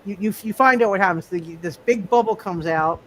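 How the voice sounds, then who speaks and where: A man narrating a tabletop roleplaying game as game master, speaking haltingly and often repeating his words. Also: medium pitch, a bit bright, a little hiss or hum.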